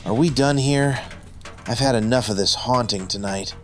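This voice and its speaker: An actor playing a middle-aged, washed-up wrestler, bitter, defensive and self-loathing, in a noir radio drama. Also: male voice, mid-range voice, moderate pace, soft background music, lightly acted. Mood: ashamed, weary.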